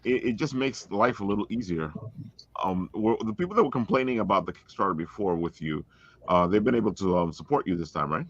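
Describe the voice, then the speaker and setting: A man hosting a casual chat in a halting, supportive way, on a dull lo-fi recording. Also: on the low side, lively intonation, no room sound. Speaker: podcast host.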